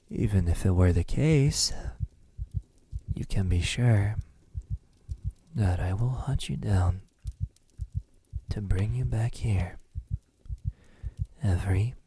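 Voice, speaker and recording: A man playing a possessive, teasing vampire in an intimate ASMR roleplay, speaking softly and breathily with frequent drops into a whisper. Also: lowish voice, full character voice. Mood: menacing, possessive. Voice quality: breathy, often dropping to a whisper. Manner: slow, menacingly tender, teasing. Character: possessive, teasing, lonely male vampire in his castle.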